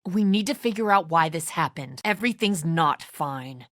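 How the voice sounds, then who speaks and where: A woman's voice: deep voice, lively intonation, moderate pace, fairly bright tone, no room sound, studio quality. An actress playing a tense, suspicious woman survivor of a zombie apocalypse in a video game, blunt and accusatory in manner. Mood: urgent, accusing. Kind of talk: dramatic video game acting.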